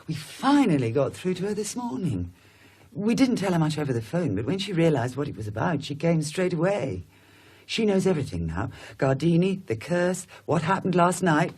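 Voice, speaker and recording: Brisk, husky British woman. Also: lowish voice, very animated, darkish tone. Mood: serious, confiding. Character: brisk, caring woman tending a shaken patient. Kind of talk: radio drama acting.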